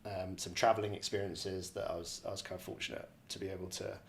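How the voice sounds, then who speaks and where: Low, even-toned man reflecting. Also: quick pace, a little hiss or hum. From borders of Scotland. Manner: hesitant, repeats and rephrases phrases. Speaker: podcast guest, real estate director. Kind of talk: reflective interview answer, casual.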